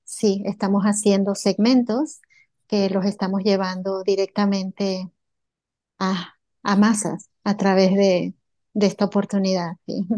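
A woman's voice: mid-range voice, level tone, unhurried, mellow. A woman from Bolivia answering interview questions in an earnest way, with many filler sounds. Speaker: entrepreneur guest.

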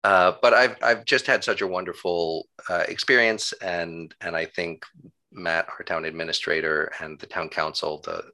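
Genial low-voiced man with a gravelly creak. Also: fairly even intonation, moderate pace, bright, forceful tone. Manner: genial, good-humored. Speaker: interview guest, town clerk. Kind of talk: casual conversational interview.

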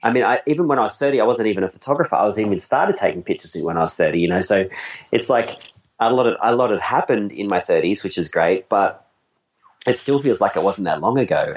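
A man in his forties, a wedding photographer, talking casually in a rambling, self-deprecating way and laughing easily. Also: fairly low pitch. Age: 40s. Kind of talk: casual conversation.

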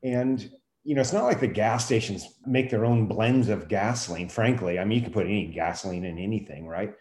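A man's voice: lowish voice, brisk, a little mellow. A man giving his opinions in a casual discussion, conversational and emphatic. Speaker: podcast host, teacher. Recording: echoey room.

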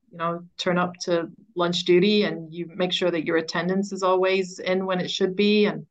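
A woman's voice: lowish voice, lo-fi sound. A middle-aged woman speaking as an interview guest, explaining her work in a conversational way. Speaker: interview guest, education coach.

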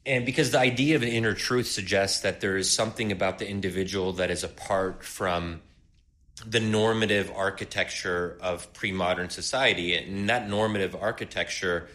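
A man's voice: sits low, fairly even intonation, moderate pace, fairly bright tone. A man co-hosting a podcast, thinking aloud in a casual intellectual discussion, with frequent fillers and light laughs. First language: English. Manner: laughs lightly while making points. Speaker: podcast co-host.

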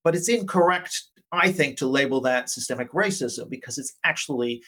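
A man from Canada speaking as an academic interview guest, explaining conversationally in a measured, qualifying way with frequent asides. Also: medium pitch, pushed and bright.

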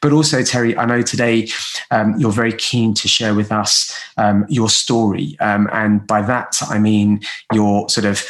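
Male podcast host, halting phrasing. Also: lowish voice, level tone, low-quality recording. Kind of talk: podcast introduction and guest welcome.

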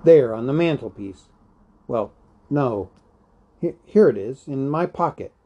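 A man's voice: fairly high pitch, lively intonation, measured pace, mellow, dull audio, pitched above the performer's own voice. A man voicing an elderly hobbit in a storybook reading, fretful, stubborn and possessive, with a querulous, insistent manner. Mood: flustered, impatient.

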